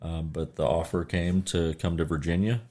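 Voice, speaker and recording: A middle-aged man from Kansas sharing his personal testimony as a radio guest, quiet and halting with ums. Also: low voice, flat delivery.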